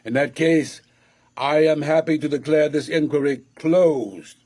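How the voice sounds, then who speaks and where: Genial Supreme Court judge, radio drama, pompous, formal, halting, slightly high pitch. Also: male voice, darkish tone. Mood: genial, satisfied.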